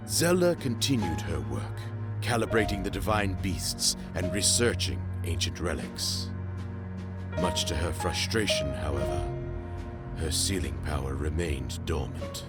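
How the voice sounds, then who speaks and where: An actor playing a solemn storyteller, giving measured narration in a fantasy video game cutscene. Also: male voice, low voice, lively intonation. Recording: loud music over the voice.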